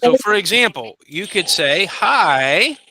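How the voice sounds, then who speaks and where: A man teaching a basic English class over a video call, patiently modeling phrases slowly for his students. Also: sits high, sing-song, pushed and bright. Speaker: ESL teacher. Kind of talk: encouraging classroom instruction.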